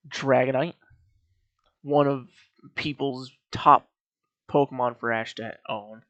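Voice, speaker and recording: A man's voice: medium pitch, no echo. Man giving casual commentary, dry close sound. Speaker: video host giving opinions on an anime series. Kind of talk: casual commentary ranking a cartoon series.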